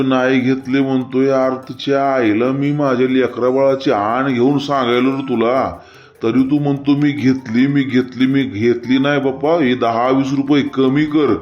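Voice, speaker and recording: Dull, lo-fi audio with clear music behind it carries a man acting an irate cartoon village farmer. He speaks rural Maharashtrian Marathi at a middle pitch, blunt and stubborn. He haggles and curses in a rustic, repetitive way. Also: level tone, unhurried, lightly acted. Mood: angry haggling. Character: irate, stubborn, foul-mouthed village farmer complaining to customer care. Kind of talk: comic cartoon phone-call sketch.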